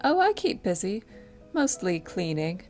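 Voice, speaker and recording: A woman plays a polite 1950s housewife in an audio drama. She is proper and a little flustered, eager to please, with a hesitant manner. Her voice sits at a middling pitch, with faint music behind it. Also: sing-song, a little slow, a little mellow. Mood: chatty, awkward.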